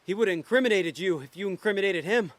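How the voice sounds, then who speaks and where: A man's voice: high-pitched, lively intonation, fast, no echo. An actor playing a laid-back, streetwise con man in a noir audio thriller, wry, informal and suspicious. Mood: defiant, accusing.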